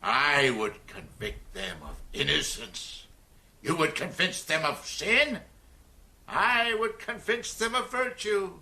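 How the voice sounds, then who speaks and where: A lofty, intense cult prophet from a radio play. His voice is high and forceful, and he declaims slowly and pompously, full of scorn. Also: lively intonation, full character voice. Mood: lofty, scornful. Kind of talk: radio drama acting.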